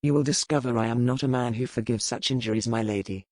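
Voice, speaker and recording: Male actor as a cold, sardonic earl for romance audiobook; deliberate, menacing, dry close sound. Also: medium pitch, a little mellow, no room sound, close to an everyday voice. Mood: cold threat. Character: cold, sardonic, menacing young English earl, dangerous rake. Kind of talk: audiobook character acting.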